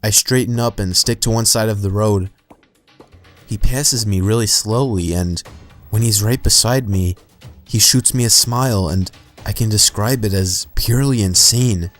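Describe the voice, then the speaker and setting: A man with a fairly low voice reads creepy true stories in a calm, steady, scripted way. Soft music runs quietly beneath his narration. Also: normal speed. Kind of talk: scripted horror story narration.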